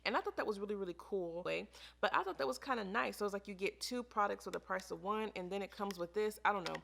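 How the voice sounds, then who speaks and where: A woman's voice: medium pitch, fast, creaky, fairly bright tone. An American woman chatting casually to camera as a beauty vlogger, in an informal, emphatic way that repeats phrases for emphasis. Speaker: beauty vlogger reviewing products.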